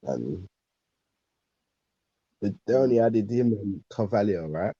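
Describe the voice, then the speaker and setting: Husky, mellow man, slightly muffled. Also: on the low side, animated, slow pace, no room sound. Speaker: podcast panellist. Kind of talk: casual football banter.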